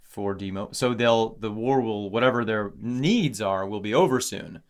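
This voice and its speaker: A man co-hosting a casual podcast, talking excitedly about song lyrics with many restarts; English is not his first language. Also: fairly low pitch, normal speed. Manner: animated, exclamatory, lots of restarts. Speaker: podcast co-host.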